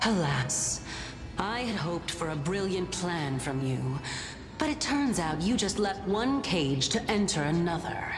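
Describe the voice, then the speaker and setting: Game deity: serene, teasing Titan, slow stately lilt, echoing reverb, soft music behind. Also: female voice, on the low side, lively intonation. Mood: teasing disappointment. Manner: stately, archaic, amused. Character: ancient female Titan, serene, aloof, quietly teasing divinity. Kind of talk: video game voice acting.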